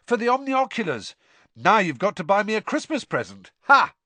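A boisterous teenage boy, played by a grown man reading a storybook, high in pitch and bursting with eagerness. He yells with lively, swooping delivery, heard close and dry. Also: normal speed, no echo, full character voice. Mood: gleeful. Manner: yelling, exuberant.

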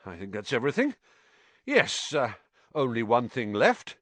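A man's voice: medium pitch, huge pitch swings, normal speed, fairly bright tone, no echo. A man voicing a vain, pompous male wizard professor who talks in an affected, condescending way. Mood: smug.

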